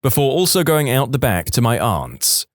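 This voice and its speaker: Man narrating stories with lively pitch swings. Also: moderate pace, no echo, clean studio sound. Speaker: narrator reading online stories. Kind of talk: scripted story narration.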